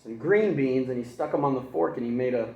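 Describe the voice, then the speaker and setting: A man's voice: mid-range voice, fairly quick, highs cut off. Chatty male teacher reading aloud, echoey room. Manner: chatty, digressive asides, chuckles. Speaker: kindergarten teacher. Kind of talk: reading a picture book aloud to children.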